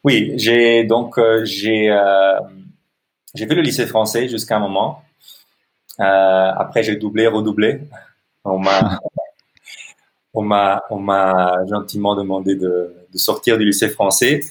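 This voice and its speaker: An Italian man in his thirties, a non-native French speaker, answering interview questions in a halting way with many fillers and restarts. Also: fairly low pitch, level tone. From Italy. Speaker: podcast guest, social entrepreneur. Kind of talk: hesitant conversational interview answers.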